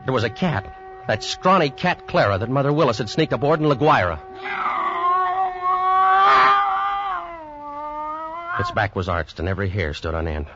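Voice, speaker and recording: A tense American survivor recounting horror aboard ship in a 1940s radio thriller. His voice is on the low side and dramatic. The old recording is dull, with a very loud musical score around him. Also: very animated, quick pace, loud music behind the voice. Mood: tense dread. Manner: dramatic, intense first-person storytelling. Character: tense, shaken man recounting a deadly terror aboard ship. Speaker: radio drama actor narrating in first person. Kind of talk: 1940s-50s radio suspense acting.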